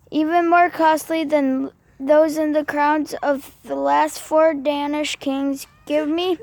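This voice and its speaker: A woman playing a commanding king in an amateur home video, reciting haltingly and stumbling over words. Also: high-pitched, darkish tone, no room sound. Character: male king, scheming and commanding.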